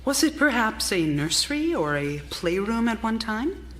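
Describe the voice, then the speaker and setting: Period radio drama: nervous, apologetic young wife, formal diction, animated delivery, clear background noise. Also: female voice, on the high side, normal speed. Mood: fretful disgust. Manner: theatrical, fretful, apologetic, formal old-fashioned diction. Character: anxious, meek young wife confined for her nerves. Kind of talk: live period radio theater acting. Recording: live theater recording, clear background noise.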